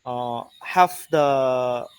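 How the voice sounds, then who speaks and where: Slow, halting Khmer-accented male lecturer. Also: medium pitch, clear, resonant tone, no room sound. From Cambodia. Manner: slow, halting, many "uh" and "okay" fillers. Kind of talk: online lecture.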